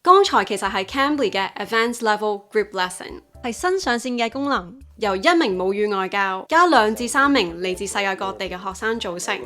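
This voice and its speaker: A woman's voice: medium pitch, expressive, moderate pace, hardly any pauses, pushed and bright, soft background music. A young adult woman with a Hong Kong accent, narrating a vlog and switching between two languages. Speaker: host, English teaching YouTuber. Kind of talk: vlog narration mixing Cantonese and English.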